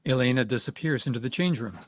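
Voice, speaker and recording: A man's voice: medium pitch, quick pace, a little hiss or hum. A man giving scripted narration as the reader of stage directions.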